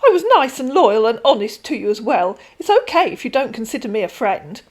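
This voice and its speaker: A smooth-talking male online scammer, as a woman narrator puts him on, full of stilted phrases and sugary darlings. His voice rides high and swoops widely, then goes pushy and demanding. A storybook reading. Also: big pitch swings, fairly quick, darkish tone. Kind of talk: read-aloud storytelling.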